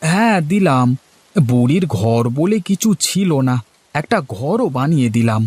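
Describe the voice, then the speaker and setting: A man's voice: a little high, big pitch swings, a little slow, darkish tone, husky, full character voice. A man voicing a simple, good-natured village man in a cartoon, naive, hesitant and eager to oblige. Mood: kindly, obliging. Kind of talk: cartoon voice acting.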